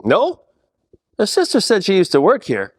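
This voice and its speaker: An actor playing a world-weary, wisecracking 1940s private detective, narrating in hardboiled radio-play style with deadpan asides. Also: male voice, high-pitched, moderate pace, breathy edge, long reverb tail, exaggerated voice. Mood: inquiring. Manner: wry, colloquial, deadpan asides.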